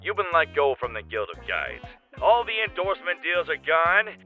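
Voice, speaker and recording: Man as high-pitched manager on tinny phone. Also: big pitch swings, normal speed, soft background music. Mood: blunt. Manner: curt, businesslike. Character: blunt, brisk male talent manager delivering messages. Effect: telephone filter. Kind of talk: comic dub voice acting. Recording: thin, tinny phone-line sound.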